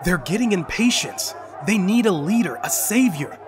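A man's voice: sits high, animated, moderate pace. A man playing a desperate, abandoned young heir in an apocalyptic sci-fi audio drama, pleading and emphatic. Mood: persuasive. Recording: dramatic music underneath.

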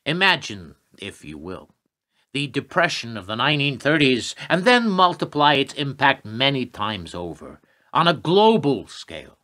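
Radio drama: male actor as a grim, insistent government adviser, lively pitch movement, hard-edged voice, lecturing urgently. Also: moderate pace. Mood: grave warning. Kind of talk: radio play acting.

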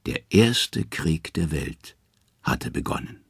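A man narrating mythological tales from a script in a measured, dramatic storytelling manner. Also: rumbling low, very animated, vocal fry, a bit bright, husky, no echo, studio quality.

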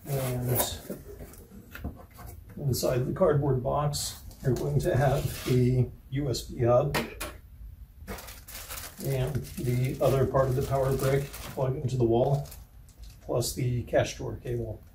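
A man in his forties giving step-by-step hardware setup instructions, pausing as he works with his hands. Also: mid-range voice, flat delivery. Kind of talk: step-by-step product setup tutorial, demonstrating. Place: small office indoors. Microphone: camera a few feet away. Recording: distant echoey room mic, loud handling noise.

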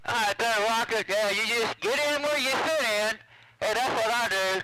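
A man with a strikingly high, sharp voice talks as a CB radio operator. He is folksy and casual, repeating his phrases and leaning on radio slang. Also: normal speed, a little hiss or hum, rough recording. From Mississippi, USA.

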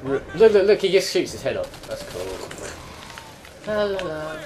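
A young male gamer with a slightly high, animated voice chats through a playthrough. He is excitable and teasing, recorded with noisy game sound in the background. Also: moderate pace, recorded from a distance. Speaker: gaming video co-host. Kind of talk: casual gameplay banter. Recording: clear noise, game sound behind. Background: video game sounds.

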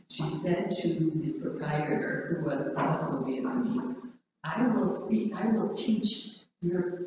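A woman who speaks Norwegian natively, sharing haltingly in a group discussion and searching for words. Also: low-pitched. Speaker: participant in a prayer vigil. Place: large room at a spirituality center. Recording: echoey hall, distant mic, faint noise.

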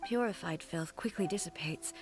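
A woman voicing a calm, regal, godlike ruler in a video game, speaking in a measured, formal way. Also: on the low side, music in the background, lightly acted. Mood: calm, explanatory.

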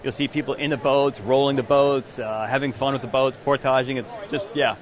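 A man's voice: fairly even intonation, a little fast, crowd noise. A man in his forties talks eagerly about the boats his company builds. He speaks into a handheld mic held out by an interviewer at an indoor trade show booth. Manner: enthusiastic sales pitch. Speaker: canoe maker.

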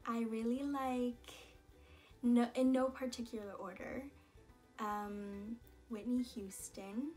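A young woman with a slightly high voice takes her time, chatting easily and dropping in little asides as she answers questions. She sits in a small room facing the camera, with faint music underneath. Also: slow. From Canada. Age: young adult. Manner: chatty, relaxed, many fillers and little asides. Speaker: singer and vlogger. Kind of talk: casual Q&A vlog. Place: small indoor room against a plain wall. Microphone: camera in front at head-and-shoulders framing.

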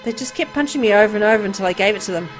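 A woman's voice: mid-range voice, rapid-fire, faint music behind, lightly acted. A woman voicing a timid, poor young boy who is hesitant, apologetic and naively eager to please, for a game. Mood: meek, rambling.

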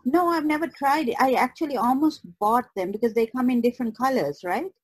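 Woman artist chatting on a podcast, fairly high voice, no room echo. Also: a little fast, slightly rough. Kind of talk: casual chat.

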